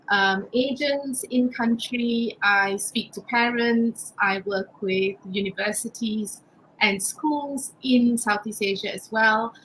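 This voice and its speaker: A woman in her fifties from Malaysia, an education consultant introducing herself briskly, with a self-joking touch. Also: on the high side, fairly bright tone, slight background noise, rough recording. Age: 50s.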